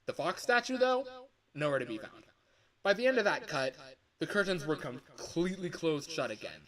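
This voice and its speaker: A man's voice: a little high, big pitch swings, moderate pace, fairly bright tone. A man voicing a chatty, jaded male office coworker giving a matter-of-fact, upbeat briefing for a video game. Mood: briefing, wry. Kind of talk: video game voice line recording.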